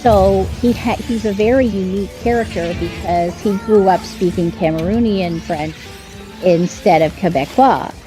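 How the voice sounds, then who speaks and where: Woman chatting on a stream, earnest, keeps restarting, mid pitch, music going behind her. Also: normal speed, rough recording. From Canada. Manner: earnest, explanatory, with frequent restarts. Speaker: author guest. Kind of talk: casual conversation on a live stream.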